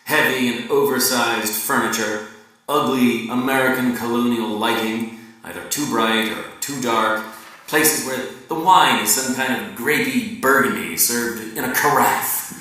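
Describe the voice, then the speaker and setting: A man giving a dramatic literary reading like a raconteur's monologue, theatrical and deliberate with his pauses. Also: mid-range voice. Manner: theatrical, deliberate pauses, swinging from hushed to emphatic. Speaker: reader performing a novel excerpt. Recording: echoey room, distant from the mic.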